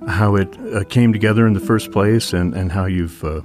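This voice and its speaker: Very deep-voiced male interviewer. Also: normal speed, over music. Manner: hesitant, with many fillers. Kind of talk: interview questions.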